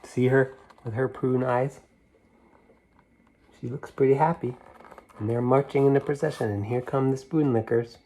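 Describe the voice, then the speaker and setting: A middle-aged man reading a picture book aloud to children, gentle and unhurried, stopping to show the pictures. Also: mid-range voice, steady tone, poor audio. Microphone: camera mic, moving toward it to show pages.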